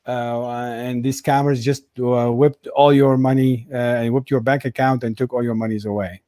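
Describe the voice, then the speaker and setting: A man's voice: mid-range voice, flat delivery, moderate pace, mellow, no room sound, studio quality. A man hosting a casual live interview, restating and recapping what he is told. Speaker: interview host.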